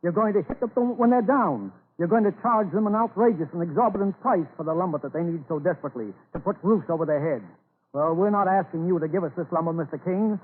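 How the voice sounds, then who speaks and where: A man's voice: sits high, fast, husky. A man acting in a 1930s radio drama as an earnest, indignant lumber buyer standing up for his townspeople. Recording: scratchy narrow-band old radio recording.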